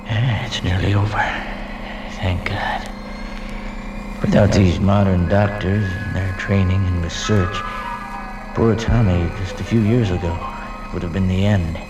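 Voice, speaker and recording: An actor playing a solemn, grateful onlooker musing aloud in a 1950s radio drama. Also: male voice, low voice, steady tone, measured pace, distant mic, over music, rough recording. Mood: relieved, reflective. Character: solemn, grateful male onlooker admiring a surgical team.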